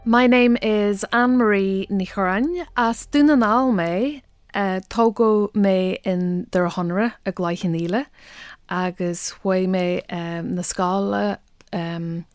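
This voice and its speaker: A woman from Donegal with a Donegal Irish accent, a native Irish speaker and poet, speaking thoughtfully with soft hesitations. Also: medium pitch, slow, smooth, no room sound, faint music behind. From Donegal, Ireland. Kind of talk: interview monologue.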